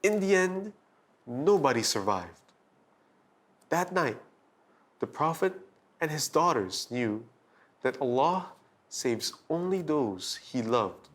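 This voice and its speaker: A man hosting and narrating a scripted bedtime story for children in a dramatic, deliberate storytelling manner. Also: a little high, big pitch swings.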